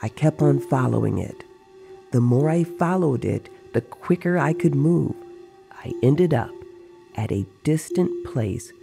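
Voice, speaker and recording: Slow, creaky-voiced storyteller over music. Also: mid-range voice, lively intonation. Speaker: narrator. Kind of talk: dramatized audiobook storytelling.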